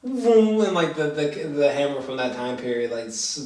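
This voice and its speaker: A man's voice: fairly high pitch, sing-song, moderate pace, a little mellow, recorded from a distance. A man in casual, unscripted chat as a film review co-host, searching for words and often trailing off. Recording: echoey room, away from mic.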